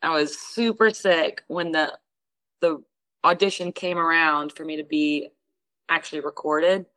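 Lively woman telling a story. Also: low voice, a little slow, no room sound, poor audio. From Houston, Texas. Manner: lively storyteller who acts out what others said. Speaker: guest singer. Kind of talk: casual interview storytelling.

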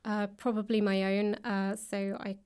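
Female interview guest, fairly flat intonation, reflective and hesitant, conversational delivery with frequent pauses. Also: mid-range voice, clean tone. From grew up in the UK and India. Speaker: guest, author and actor. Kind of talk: thoughtful conversational interview answers. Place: indoors, upper floor of a public library.

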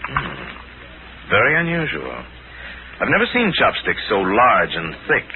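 An actor playing a cool, urbane crime-fighting detective, measured and courteous with authority, in a 1940s radio drama. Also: male voice, lowish voice, sing-song. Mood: probing. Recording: tinny old radio recording, faint noise.

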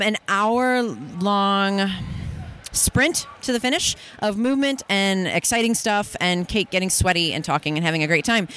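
A woman's voice: medium pitch, fairly bright tone, smooth. A woman guest in a casual in-person interview, bubbly and enthusiastic, recorded on a busy expo floor. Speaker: guest, fitness workshop presenter. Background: crowd ambience.

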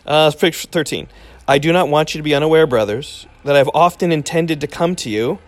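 Man, bible reading host, higher-pitched, steady reading voice, stops to explain passages. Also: brisk. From English-speaking visitor to Nepal. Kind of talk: casual Bible reading with commentary.

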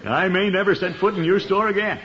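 An actor playing a pompous, hearty middle-aged water commissioner in a 1950s radio comedy, blustering and chuckling. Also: male voice, sits high, fairly quick, natural voice. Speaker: lead of a radio sitcom. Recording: muffled old radio broadcast with hiss.

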